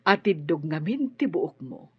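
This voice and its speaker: A determined mother in an Ilocano radio drama. Her voice is very husky and swings widely with feeling, with an Ilocano accent, in a dry, slightly dull recording. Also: on the low side, dry recording, full character voice. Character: determined mother seeking her lost daughter, emotional.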